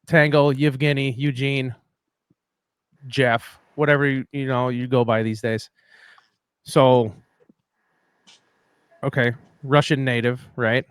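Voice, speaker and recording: A man's voice: mid-range voice, flat delivery, slow, long pauses, smooth, no room sound. A man hosting a casual podcast, rambling and joking in a self-deprecating way. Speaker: podcast host.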